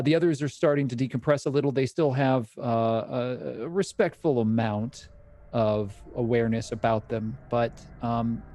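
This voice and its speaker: A man acting as game master, narrating a tabletop horror game in a measured, descriptive way and asking players what they do. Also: mid-range voice, dark tone, a little hiss or hum. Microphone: streaming mic.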